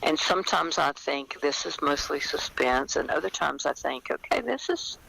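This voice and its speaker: An older woman talking conversationally as an interview guest, explaining her work as a writer in a thoughtful, halting manner. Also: low voice, expressive, creaky, pushed and bright, breathy edge, poor audio.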